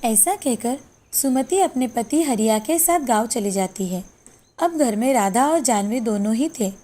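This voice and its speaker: High-pitched woman narrating a story. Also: animated, unhurried, mellow. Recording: background music under the voice.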